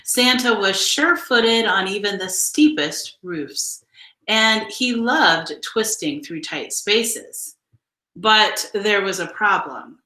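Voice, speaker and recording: A woman reading a children's picture book aloud in an expressive, deliberate storytelling style. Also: medium pitch, echoey room, low-quality recording. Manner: measured, deliberate storytelling delivery with emphasized phrases. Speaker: storybook reader.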